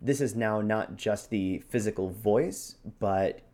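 Male podcast co-host, lower register, explaining vocal craft conversationally. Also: slow, darkish tone. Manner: demonstrates points with his own voice. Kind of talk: explanatory podcast talk on voice acting.

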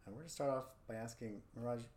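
A man's voice: medium pitch, fast talker, a little mellow. A man hosting a conversational interview podcast, feeling his way through his questions as he asks them. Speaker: podcast host interviewing a guest. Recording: roomy echo, background room noise.

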